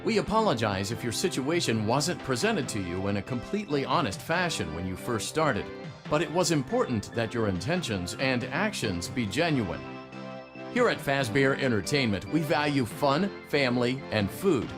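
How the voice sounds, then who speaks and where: Guy playing a smooth corporate announcer dude in a game, salesy and formal, music behind him. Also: mid-range voice, sing-song, moderate pace, close to an everyday voice. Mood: formal, congratulatory. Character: upbeat, smooth corporate narrator of a pizza company. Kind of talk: scripted announcement in a video game.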